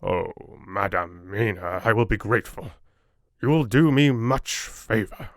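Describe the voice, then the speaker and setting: A man's voice: lowish voice, unhurried, dry recording, clean studio sound. A man voicing a courtly, earnest older foreign doctor with formal, quaint phrasing, as in an audiobook. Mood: grateful. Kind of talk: audiobook character dialogue.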